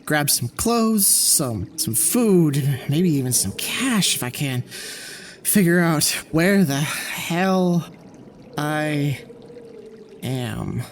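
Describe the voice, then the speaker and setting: A man's voice: on the high side, animated, extremely slow, slight background noise, full character voice. An actor playing a tired, stranded male scientist who mutters wryly to himself. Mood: weary.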